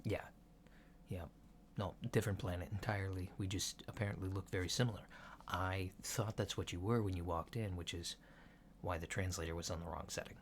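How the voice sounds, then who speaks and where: A man playing a polite alien doctor in a soft-spoken ASMR roleplay, asking checkup questions in a hesitant, methodical, reassuring way, on a lo-fi recording. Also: sits low, fairly even intonation, brisk. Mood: calm, reassuring. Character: polite, unhurried human-looking alien doctor giving a checkup. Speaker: ASMR performer. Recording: lo-fi with clear background noise.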